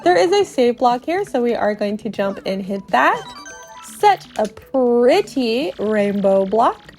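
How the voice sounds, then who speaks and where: A woman's voice: on the high side, very animated, takes it slowly, darkish tone, soft background music. A woman hosting a game podcast, narrating play like a playful storyteller. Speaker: podcast host and game player.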